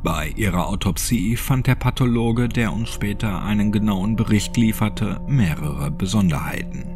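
Low-voiced man narrating horror over music. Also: moderate pace. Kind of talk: scripted audiobook narration of a creepypasta. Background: background music.

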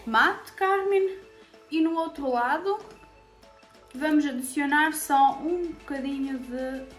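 A woman's voice: sits high, measured pace, reverberant, faint music behind. A young woman from Serbia, talking to camera in a makeup tutorial, chatty and full of fillers. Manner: chatty, many fillers, speaks to viewers directly. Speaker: beauty vlogger. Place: small indoor room with shelves.

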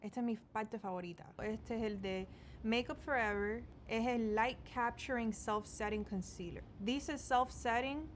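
A woman's voice: fairly high pitch, unhurried, slight background noise. A young woman in her twenties from Miami, with a Cuban-American accent, chatting casually through a makeup tutorial and slipping English words into her Spanish. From United States, Miami. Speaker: beauty vlogger. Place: small bedroom indoors.

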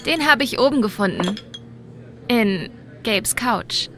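A woman's voice: fairly high pitch, expressive, slow, a bit bright, slight background noise. A young woman playing a friendly, light-hearted bar worker in a video game, in natural acting. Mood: curious.

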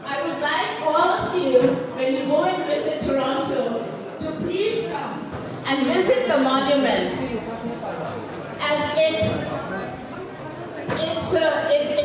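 Older lady from bangladesh giving a speech, high voice, far off in a big echoey hall w crowd. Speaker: guest speaker at a community event. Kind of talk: public speech. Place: large indoor atrium at a community event. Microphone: handheld mic, filmed from a distant phone.

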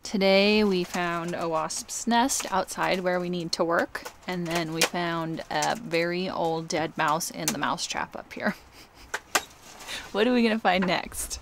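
A woman's voice: lowish voice, unhurried, creaky, noisy background. A woman in her thirties chatting cheerfully to a close handheld camera about a home DIY project. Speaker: DIY home-build vlogger. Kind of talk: casual vlog talk to camera. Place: attic and garage shop. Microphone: handheld action camera close up.

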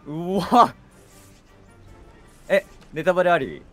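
A man's voice: high voice, expressive, slow, a bit bright. A man in casual, offhand co-op gaming chat, reacting and bantering with his partner as he plays. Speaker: video game streamer. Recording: game music behind the voice. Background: video game music.

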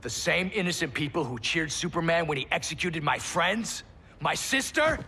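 An actor playing a bitter, sardonic supervillain in a video game cutscene. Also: male voice, on the high side, expressive, normal speed, sharp tone, slightly rough, heavily acted. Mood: bitter anger. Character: bitter, sardonic male supervillain grieving his sister.

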